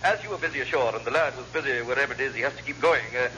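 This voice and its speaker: An actor playing a cheerfully apologetic, blithely incompetent naval lieutenant who rambles and repeats himself in an old radio sitcom. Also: male voice, medium pitch, rapid-fire, bright, forceful tone, natural voice. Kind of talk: classic radio sitcom acting. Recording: noisy old radio comedy recording.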